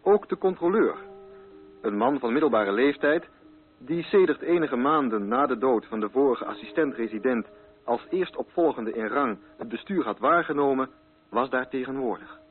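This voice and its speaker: A man's voice: mid-range voice, animated, moderate pace, soft background music. A man reading scripted literary narration for a radio play. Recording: narrow-band old radio recording.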